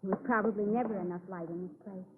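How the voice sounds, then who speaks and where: Actress as frail, frightened young woman, old radio drama, medium pitch, noisy recording. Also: steady tone, fast, narrow, tinny audio, lightly acted. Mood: fearful, insistent. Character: fragile, fearful young woman, strange and fainting. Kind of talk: 1950s radio crime drama acting.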